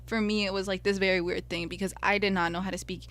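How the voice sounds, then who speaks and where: A young woman whose first language was Spanish speaks earnestly about her own life as a podcast co-host. Her voice sits in a middle pitch, and she tells her story in a sincere, reflective way. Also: level tone, fast talker, fairly bright tone. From United States. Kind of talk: personal reflective podcast talk.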